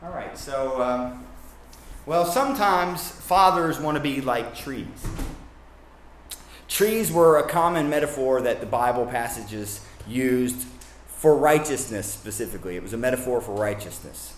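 A man's voice: mid-range voice, expressive, unhurried, reverberant, a little hiss or hum. A man from Kentucky preaching a sermon in a casual, conversational way, with asides and self-corrections.

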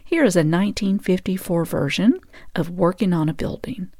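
A woman's voice: on the low side, expressive, normal speed, dark tone. A woman hosting a radio show and podcast, reading scripted announcements and narration.

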